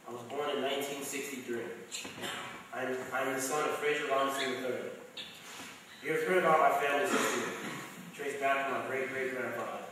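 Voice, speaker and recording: A man's voice: medium pitch, fairly bright tone, close to an everyday voice. Young man as storyteller in a very echoey chapel. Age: young adult. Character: men of one family telling their life stories. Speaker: student reader. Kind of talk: scripted first-person dramatic reading. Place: large school chapel. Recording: echoey hall, distant mic, faint noise.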